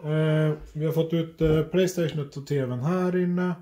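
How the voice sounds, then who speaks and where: A middle-aged man chatting casually for a family vlog, informal and sweary, often halting, recorded on a phone at arm's length indoors. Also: on the high side, fairly even intonation, mellow. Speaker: family vlogger. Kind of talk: casual handheld vlog chat. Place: indoors at home, rooms and kitchen. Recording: roomy echo, faint household noise.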